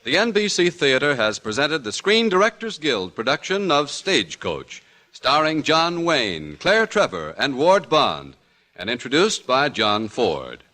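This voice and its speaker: An American man announces in a bright, projected voice of medium pitch. His intonation swings widely, and his delivery is formal and polished in 1940s radio style. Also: huge pitch swings, unhurried.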